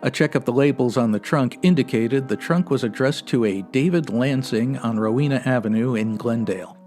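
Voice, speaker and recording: A male actor plays a no-nonsense male police sergeant from a 1950s radio detective drama. He speaks in a middle pitch with a clipped, deadpan, factual delivery. Faint music plays underneath. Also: normal speed, lightly acted. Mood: flat, factual. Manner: deadpan, clipped, matter-of-fact. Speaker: actor in a comedy audio drama.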